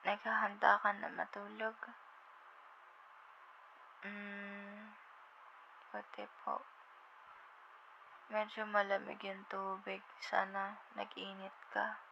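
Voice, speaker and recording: A woman acts out a drowsy, loving young girlfriend with a Filipino accent in an intimate ASMR roleplay. She speaks slowly in a flat, gravelly-edged murmur, tender and a little hesitant. The audio is somewhat dull. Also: medium pitch, background noise. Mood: soft, caring. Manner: drowsy, tender, hesitant, slips between Tagalog and English. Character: sleepy affectionate young girlfriend on a late-night phone call.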